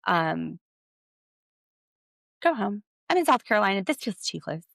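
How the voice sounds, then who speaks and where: An American woman hosting a podcast, giving casual, opinionated commentary in an animated, sarcastic, slangy way. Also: mid-range voice, unhurried, vocal fry, dry recording, clean studio sound. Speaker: podcast host.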